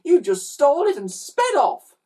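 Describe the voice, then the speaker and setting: Woman voicing an indignant high-pitched young boy. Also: huge pitch swings, normal speed, mellow, distant mic. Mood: enraged, defiant. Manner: emphatic, snappy, declaring. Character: indignant, determined young boy seeking revenge.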